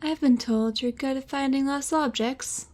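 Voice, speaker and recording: An actress playing a cool, mysterious, wealthy widow in a noir audio drama, poised and deliberate. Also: female voice, sits high, darkish tone, natural voice. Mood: cool, sultry.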